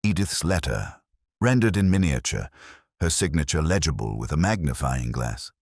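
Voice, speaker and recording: Very deep-voiced man narrating a mystery. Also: very animated, vocal fry, dry recording, clean studio sound. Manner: measured, literary, atmospheric. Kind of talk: scripted mystery story narration.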